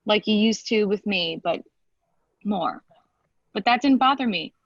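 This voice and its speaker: A woman playing a hurt, blunt lawyer speaking to an ex in a naturalistic screenplay table read, halting and emotional. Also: mid-range voice, expressive, no echo, muffled recording. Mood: hurt, reproachful. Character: hurt, blunt ex-partner, a hard-working lawyer.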